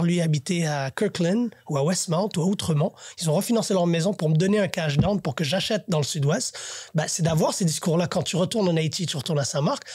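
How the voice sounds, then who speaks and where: A man's voice: fairly high pitch, a little mellow, husky, dry recording, clean studio sound. A man, host of a podcast, talking earnestly in a casual panel discussion and thinking aloud as he goes. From Haitian family, raised in Canada.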